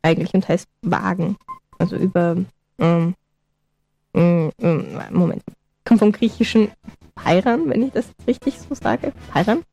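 Woman, husky, cold-stricken co-host, giggly and hesitant. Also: fairly low pitch, unhurried, mellow, highs cut off. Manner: giggly, hesitant, clears throat often. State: has a cold, slightly hoarse. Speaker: podcast co-host. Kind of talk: casual chat.

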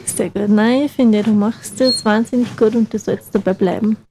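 A woman's voice: medium pitch, steady tone, moderate pace, background noise. A young woman, hosting a campus radio show in casual, teasing chat. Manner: chatty, teasing, laughs often.

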